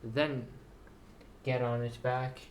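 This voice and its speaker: Man, halting and unhurried, slightly ill with sore throat, rambling vlog delivery, mid-low pitch range not required, light noise behind. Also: little pitch movement. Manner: halting, repeats words. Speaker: writer introducing and reading own story. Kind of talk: slow rambling video monologue.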